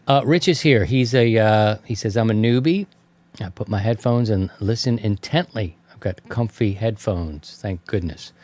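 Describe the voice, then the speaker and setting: A man's voice: fairly low pitch, normal speed, darkish tone. An American man hosting a casual radio hobby talk show, telling stories in a slangy way with playful mock insults. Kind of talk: casual chat.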